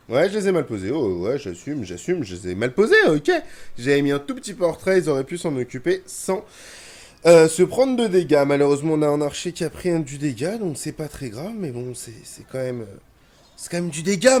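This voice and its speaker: A man's voice: fairly high pitch, lively intonation, brisk. Stuffy-nosed young man narrating gameplay. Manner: chatty, grumbles and swears when frustrated. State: has a head cold. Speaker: gaming youtuber. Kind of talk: casual gameplay commentary.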